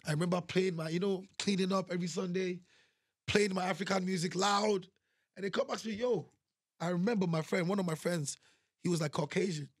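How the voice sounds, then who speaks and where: A man from Nigeria, a guest musician, telling animated stories in a casual interview and often saying "you know what I'm saying". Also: high voice, a little fast, slightly rough, no room sound, clean studio sound.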